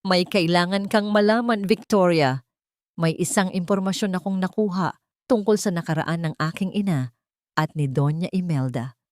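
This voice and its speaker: A brooding, secretive romantic hero from an audio love story, acted out by a woman narrator. The voice is slightly low and speaks with solemn, earnest devotion. The recording is dry and free of room sound. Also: moderate pace, no room sound, close to an everyday voice. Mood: grave, secretive.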